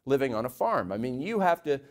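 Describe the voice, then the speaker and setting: A man's voice: medium pitch, big pitch swings, fast. Man from Canada, podcast co-host, enthusiastic, rambling, motivational, studio. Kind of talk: casual podcast conversation. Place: studio with cameras.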